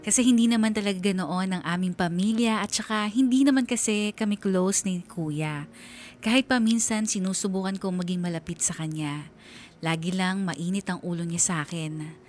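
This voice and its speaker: An actress playing a sad young daughter narrating in a confiding way for a Filipino radio drama. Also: female voice, medium pitch, normal speed, darkish tone, slight background noise, lightly acted. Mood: sad, reflective. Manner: wistful, confiding. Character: sad young daughter narrating her family's hidden troubles.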